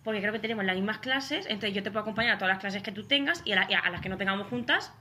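A woman's voice: medium pitch, very fast, pushed and bright, slight background noise. A young woman from Spain with a Spanish accent, chatting as a podcast guest, full of fillers and restarts. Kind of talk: casual chat.

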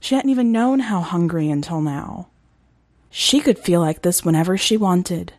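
A woman's voice: on the low side, normal speed, darkish tone, no room sound, clean studio sound. A woman reading scripted fiction as a narrator for a short story podcast. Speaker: podcast editor and story reader.

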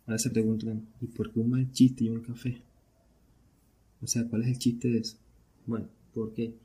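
A man's voice: on the low side, gravelly, dark tone, slightly rough. A Venezuelan man hosting a casual solo podcast, talking conversationally and often posing questions that he then answers himself. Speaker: podcast host.

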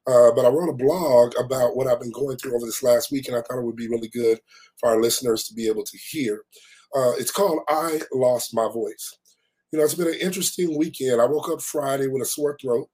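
A middle-aged man who hosts a motivational podcast, hoarse and raspy from a recent cold, reading his own reflective blog with emphatic repetition. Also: medium pitch, fairly quick, darkish tone, poor audio. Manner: emphatic, repeats key phrases for effect. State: hoarse, recovering from losing his voice. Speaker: podcast host and life coach.

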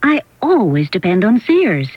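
Husky middle-aged mom. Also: female voice, mid-range voice, big pitch swings, measured pace. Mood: assured, knowing. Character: assured advice-giving mother of a grown daughter. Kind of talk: dramatized radio advertisement. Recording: dull vintage radio recording.

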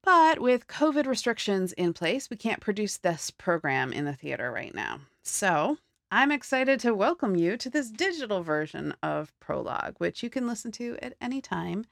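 A woman hosting a theater program, giving a scripted introduction in a clear, measured delivery. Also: medium pitch, sing-song, no echo, clean studio sound. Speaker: theater program host and interviewer. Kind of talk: scripted narration and interview questions.

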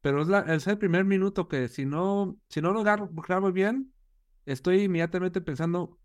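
Male podcast guest, lightly high voice, casual, peppers talk with English fillers. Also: moderate pace. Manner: mixes English filler words into Spanish. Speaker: guest video creator. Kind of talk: casual panel chat.

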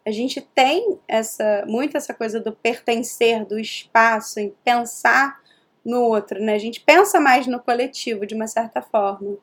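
A woman from Rio de Janeiro with a Carioca accent, a guest chatting casually in an interview, animated and quick to laugh. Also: on the high side. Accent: Carioca Portuguese. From Rio de Janeiro, Brazil. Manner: animated storyteller, laughs often.

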